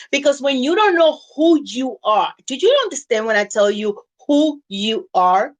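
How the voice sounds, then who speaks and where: Woman business coach, middle-aged, speaks English with slips; fairly high voice, emphatic and repetitive motivational delivery. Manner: earnest, emphatic, repeats phrases for stress; non-native English with small grammar slips. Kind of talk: motivational teaching talk.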